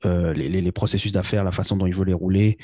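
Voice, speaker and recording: Belgian-raised guy, deep voice, lots of uh and restarts, dry close sound. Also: steady tone, fast, darkish tone, no room sound. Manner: hesitant, many fillers and restarts. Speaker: SAP consultant guest. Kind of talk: casual interview talk.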